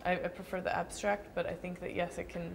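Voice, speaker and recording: A young woman dancer answering interview questions thoughtfully, with frequent pauses and restarts. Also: lowish voice, flat delivery, gravelly, noisy background. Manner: thoughtful, hesitant with fillers and restarts.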